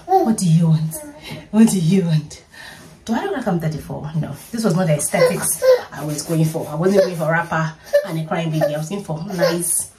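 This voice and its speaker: A woman's voice: on the low side, recorded from a distance, heavy background noise. A woman, chatting playfully and laughing often as she gets ready at home in her bedroom. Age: 30s. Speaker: vlogger. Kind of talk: casual get-ready-with-me vlog.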